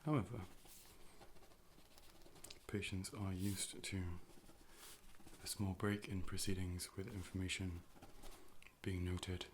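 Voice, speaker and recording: A man playing a calm, polite robotic AI optician in an ASMR role play, speaking in a measured, formal, deadpan way. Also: low voice, a little hiss or hum. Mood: calm, clinical. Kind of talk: ASMR medical role play, personal attention.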